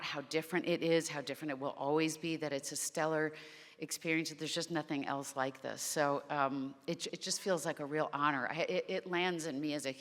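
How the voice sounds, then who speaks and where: Low-voiced woman actor, heartfelt and rambling, on a reverberant panel stage. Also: fairly bright tone. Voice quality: naturally low voice. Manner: rambling, heartfelt, restarts often. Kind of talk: convention panel chat. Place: convention panel hall.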